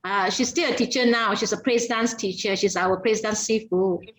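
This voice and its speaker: A woman's voice: medium pitch, fairly even intonation, normal speed, rough recording. A woman on a video call, often searching for words and repeating herself. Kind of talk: casual video call chat.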